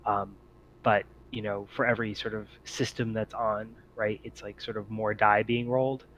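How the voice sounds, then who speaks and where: A man's voice: fairly low pitch, flat delivery, moderate pace, fairly bright tone, slight background noise, low-quality recording. A man narrating a tabletop role-playing game as game master, explaining things informally.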